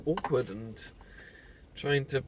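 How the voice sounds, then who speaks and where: A man's voice: medium pitch, slow, background noise, natural voice. A British man acting a heartbroken, newly separated friend in an intimate audio roleplay, stammering and self-deprecating as he shyly confesses an old love. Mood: stunned, flustered. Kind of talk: intimate audio roleplay, naturalistic monologue to the listener.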